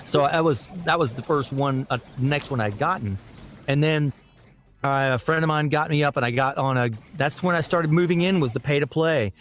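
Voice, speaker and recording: A man recalling his voice acting work in a casual interview, rambling and halting as he goes. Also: on the high side, a bit bright, a little hiss or hum. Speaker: guest voice actor.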